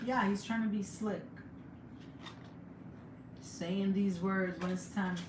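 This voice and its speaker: A young woman with a Jamaican accent chatting casually on a home livestream, laughing often and sometimes breaking into excited high exclamations. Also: takes it slowly. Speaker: livestreamer and mother. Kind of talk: casual live chat. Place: home room. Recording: echoey room with background noise.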